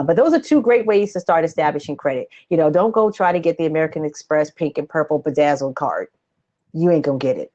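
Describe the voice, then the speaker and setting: A woman in her late forties hosting a live advice webcast from a home office, answering questions in a chatty, animated, conversational way. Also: on the low side. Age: late 40s. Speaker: host, credit coach. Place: small home office, indoors. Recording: dull lo-fi webcam audio.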